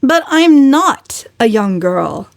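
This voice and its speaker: A woman voicing a brazen, sharp-tongued young woman in a scornful, emphatic manner for a dramatic storybook reading. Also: a little high, very animated, slow pace, creaky, dry recording, studio quality, pitched above the performer's own voice, exaggerated voice. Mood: defiant, scornful. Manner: emphatic, biting retorts.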